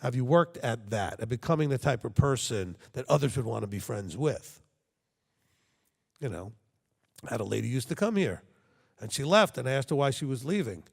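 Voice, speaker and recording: A man's voice: mid-range voice, very animated, moderate pace, vocal fry, long pauses, long reverb tail. A man preaching a sermon to his congregation, talking conversationally and checking in with frequent rhetorical questions. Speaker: congregation leader.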